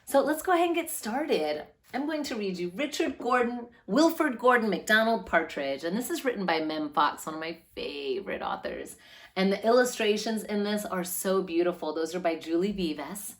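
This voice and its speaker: A woman reads a storybook aloud for young children. Her pitch moves up and down a great deal, giving the narration an animated, lilting, expressive feel. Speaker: children's read-aloud host.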